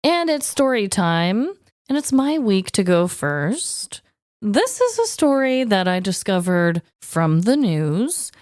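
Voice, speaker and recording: A woman co-hosting a podcast with an expressive, dramatic way of talking, both bantering and telling stories. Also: mid-range voice, slow pace, dry recording, studio quality, soft background music. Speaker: podcast co-host. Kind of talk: casual banter and scripted comic storytelling.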